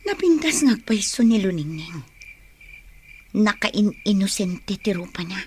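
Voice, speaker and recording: A woman's voice: medium pitch, very animated, a little slow, darkish tone, a little hiss or hum. A woman with an Ilocano Filipino accent playing a scheming, commanding elder sister in a radio drama. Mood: hushed, scheming.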